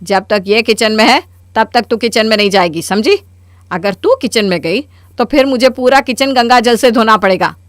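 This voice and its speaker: A woman's voice: on the high side, lively intonation, normal speed, dry recording, close to an everyday voice. A woman playing a stubborn, scheming middle-aged mother-in-law in a Hindi cartoon story, with a theatrical, scolding delivery. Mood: stern.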